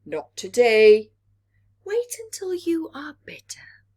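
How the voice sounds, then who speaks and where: A woman's voice: sits high, very animated, slow pace, darkish tone, pitched above the performer's own voice, full character voice. A woman voicing a protective parent of a little planet in a children's storybook, firm and emphatic.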